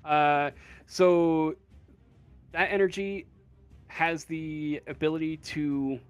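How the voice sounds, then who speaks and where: A man acting as game master, improvising narration for a tabletop role-playing game in a halting way, thinking aloud. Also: a little high, fairly even intonation, clear, resonant tone, faint music behind.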